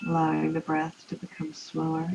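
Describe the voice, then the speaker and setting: A woman leading a guided relaxation meditation in an unhurried, soothing way, leaving long pauses. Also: deep voice, monotone, a little mellow. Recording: muffled, with clear background noise.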